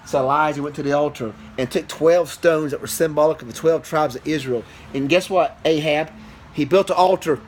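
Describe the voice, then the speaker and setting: A man, a preacher and father, telling an improvised Bible story to a child in an animated, interactive way. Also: fairly high pitch, fairly quick. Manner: animated, interactive, checks on listener with questions. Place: small office in a house. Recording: noisy amateur home recording.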